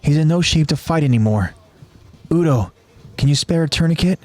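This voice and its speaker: A man's voice: fairly high pitch, expressive, moderate pace, darkish tone, voice pushed up, natural voice. A man voicing an earnest, defiant young soldier boy in an anime story.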